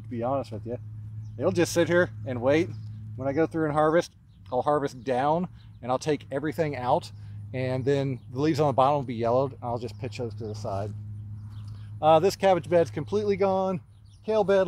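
A man in his thirties walking a vegetable field outdoors and talking casually into a phone camera held at arm's length, offhand and relaxed as he points things out. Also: on the high side. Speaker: market gardener giving a farm tour. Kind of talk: casual walking tour talk.

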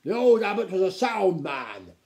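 A man's voice: high-pitched, slow, gravelly, a crowd in the background, pitched above the performer's own voice, heavily acted. A man voicing a pompous, irascible gnome duke in a storybook, blustering and scornful with emphatic delivery. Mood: indignant. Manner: blustering, emphatic, repeating himself.

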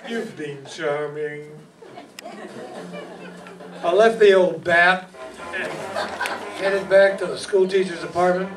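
A man's voice: high voice, slow, long pauses, music in the background, crowd noise. Older man playing a wry hardboiled detective, distant mic. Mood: dry, businesslike. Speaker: amateur radio play actor. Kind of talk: hardboiled radio detective narration and dialogue. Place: small hall stage, indoors. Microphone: stand microphone, recorded by far camera. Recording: noisy, distant hall PA recording.

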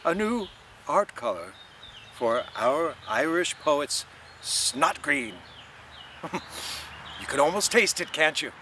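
Guy reading as a teasing theatrical young dude, high and lilting, faint background noise. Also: sing-song, slow, sharp tone, exaggerated voice. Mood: mocking. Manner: declaiming, teasing, sing-song. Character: mocking, theatrical young man, playful and grand.

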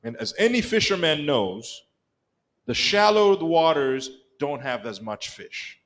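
A middle-aged man with a Rwandan accent preaching a sermon in a church sanctuary, telling the story with lively acted-out voices. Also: a little high, measured pace. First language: Kinyarwanda. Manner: animated storytelling, acts out quoted lines. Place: church sanctuary, mid-sized room. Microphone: pulpit microphone, filmed by a far camera.

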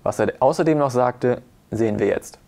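A man in his thirties reads a sports news report in a mid-pitched voice. The delivery is scripted and journalistic, addressed to viewers. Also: normal speed, vocal fry, warm tone. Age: 30s. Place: newspaper office, indoors.

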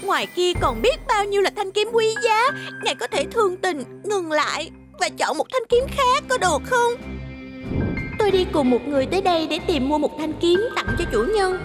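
Anxious young servant girl for animation, southern Vietnamese accent, extremely high, swooping, exclamatory delivery. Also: expressive, normal speed, music in the background. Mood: pleading. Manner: exclamatory, flustered. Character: anxious, easily flustered young woman servant. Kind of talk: animated motion comic dub.

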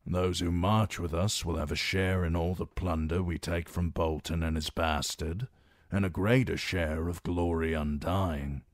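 A man voicing a stern knight who speaks firmly and calmly with authority. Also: low voice, unhurried, dry recording, clean studio sound. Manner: firm, calm, authoritative.

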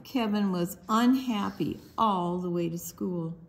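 Woman, children's read-aloud, unhurried pace, medium pitch, expressive, dramatic pauses. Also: slight background noise. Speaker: storytime book reader.

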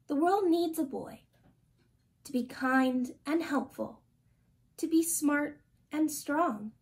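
A woman in her twenties reading a children's picture book aloud with deliberate, gently expressive phrasing. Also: high-pitched. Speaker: storytime reader. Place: small indoor room.

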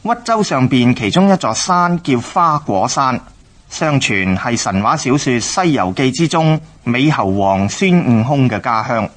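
A man narrates a historical radio drama. His mid-pitched voice moves expressively as he explains things in a measured, scripted way. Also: animated.

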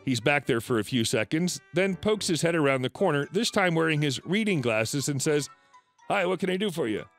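A man narrating reddit retail stories aloud, reading in a lively, animated way. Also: fairly quick, soft background music. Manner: lively, animated reading with shifting pitch for quoted customers. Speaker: story narrator.

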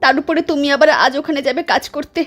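A woman voicing a frightened village wife who talks in an anxious, shrill way. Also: steady tone, fast, fairly bright tone. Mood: pleading. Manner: shrill, anxious, cries out and pleads. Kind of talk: dramatized storytelling.

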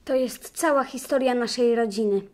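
A woman's voice: fairly high pitch, normal speed, lightly acted. A woman acting a curious, eager young grandson in a children's radio drama, giving simple short replies.

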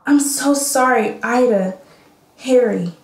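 A woman's voice: on the high side, slow. A woman acting in a radio play as an emotional, remorseful townswoman. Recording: roomy recording with music behind.

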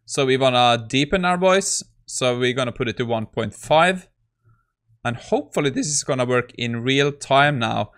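A man in his thirties talks through code in a casual, conversational way. He sounds like a tech creator at his desk, speaking at a middling pitch into a close microphone. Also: lively intonation, normal speed, fairly bright tone, clear, resonant tone. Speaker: tech YouTuber. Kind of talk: casual screen-recorded coding walkthrough.

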